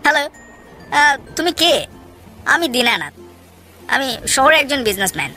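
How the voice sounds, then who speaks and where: Wealthy, generous merchant for a storybook tale, acted by a woman: confident, friendly, high-pitched, over clear music. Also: sing-song, unhurried, pushed and bright, exaggerated voice. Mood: grateful, insistent. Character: grateful, generous wealthy city businessman. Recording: music behind the voice.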